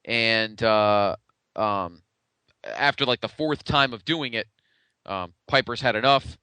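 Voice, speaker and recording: A man's voice: on the low side, slow, pushed and bright, clear, resonant tone, no echo. A man co-hosting a podcast in casual, conversational retrospective chat, with a blunt way of talking. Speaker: podcast co-host.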